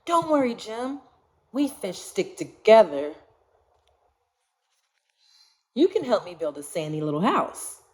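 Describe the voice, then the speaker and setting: A woman's voice: mid-range voice, sing-song, normal speed, natural voice. A woman voicing a small, plucky young fish in a storybook, with emphatic sing-song defiance. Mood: reassuring. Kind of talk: storybook read-aloud.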